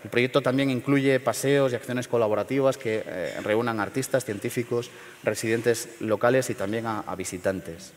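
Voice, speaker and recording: A middle-aged man from León in Spain, speaking at a panel forum in a measured, hesitant way with many fillers and restarts. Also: medium pitch, in a large hall, a little hiss or hum. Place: large theater stage.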